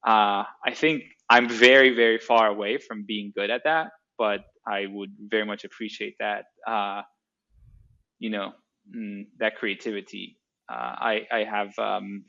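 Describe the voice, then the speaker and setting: A man's voice: on the low side, vocal fry, bright, forceful tone, muffled recording. A man from Greece, a startup co-founder, answering interview questions in a hesitant way with many fillers and restarts. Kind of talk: casual interview.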